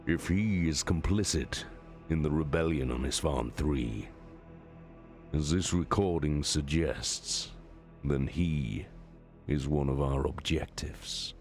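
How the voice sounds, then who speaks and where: A man playing an authoritative warmaster giving a stern, measured briefing in a dramatic story reading. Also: extremely low pitch, soft background music, heavily acted. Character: authoritative male warmaster briefing his commanders.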